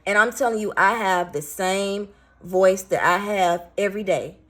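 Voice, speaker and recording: A raspy-voiced woman in her thirties, a single parent venting bluntly and with swearing as she tells a story to her viewers. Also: mid-range voice, moderate pace. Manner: blunt, profane, emphatic.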